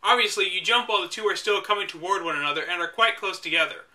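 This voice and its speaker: Young male reader with a high, bright voice; sarcastic commentary between passages. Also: fast. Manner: wry, exasperated asides. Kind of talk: reading a book aloud with sarcastic commentary.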